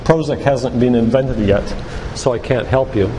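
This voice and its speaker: A man's voice: medium pitch, moderate pace. Older man preaching in a noisy echoey hall. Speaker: doctor and guest church speaker. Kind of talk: church sermon-lecture to a congregation. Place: church, live talk. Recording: echoey hall with loud background noise.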